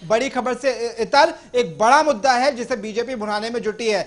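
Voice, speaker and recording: A man's voice: extremely high pitch, moderate pace. A man giving live analysis as a news correspondent, explaining things directly to the listener.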